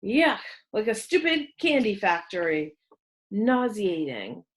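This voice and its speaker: A woman's voice: mid-range voice, very animated, takes it slowly. A woman voicing mean, gleeful schoolyard boys in a storybook, jeering with exaggerated disgust. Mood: disgusted mockery.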